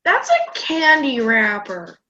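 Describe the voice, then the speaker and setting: A woman playing an excitable, curious, blunt young grandchild in an amateur radio play. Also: a little high, slow pace, dull audio, slight background noise, full character voice. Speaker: student radio play performer.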